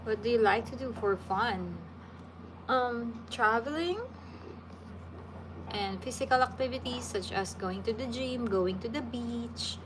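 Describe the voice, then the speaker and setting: A woman's voice: a little high, a little slow, background noise. A woman, chatting casually with viewers as a livestream host and answering their questions. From Philippines. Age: 30s. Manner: relaxed, chatty, with frequent restarts.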